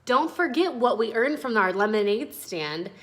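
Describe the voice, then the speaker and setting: Eager storybook boy played by a woman, curious and questioning, high-set and animated. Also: normal speed. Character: eager young boy planning his pocket money.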